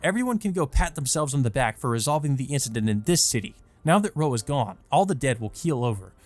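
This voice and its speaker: A man's voice: mid-range voice, sing-song, fairly quick, faint music behind, lightly acted. A man voicing a foul-mouthed, hot-tempered male vampire hunter who curses and snaps at everyone. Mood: angry. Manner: cursing, gruff, aggressive.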